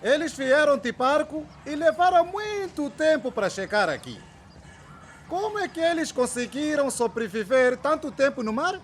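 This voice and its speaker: A man's voice: very high-pitched, animated, moderate pace. A male actor playing a keen, patient trainee teacher in a radio drama, instructive and full of checking questions. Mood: instructive, encouraging. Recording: faint background noise.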